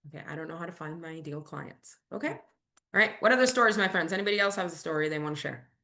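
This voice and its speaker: A woman's voice: lowish voice, fast, bright, forceful tone. A woman in her forties, a native Spanish speaker, hosting a business coaching workshop with energy and spelling out key words. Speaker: workshop host.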